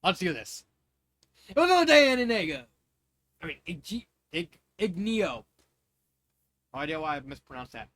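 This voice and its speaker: A man's voice: a little high, sing-song, normal speed, long pauses, fairly bright tone, no room sound. A man narrating fan fiction in an informal, amateur way, stumbling over words with casual asides.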